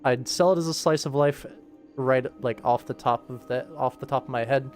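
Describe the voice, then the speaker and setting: A man's voice: medium pitch, moderate pace, a little mellow. A man giving casual livestream commentary, informally thinking aloud as he works on an animation production. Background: music.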